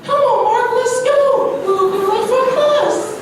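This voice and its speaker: An eager, upbeat schoolgirl played by a female reader speaks in an extremely high voice. It is an amateur reader's theatre piece, picked up from far off in a very echoey room with faint noise. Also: takes it slowly, crowd noise. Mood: concerned. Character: Grace, eager schoolgirl organising friends, upbeat.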